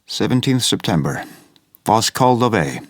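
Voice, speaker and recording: An actor playing a grave, commanding older professor in a radio horror drama, speaking in an urgent, hushed, clipped way. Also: male voice, lowish voice, sing-song, normal speed.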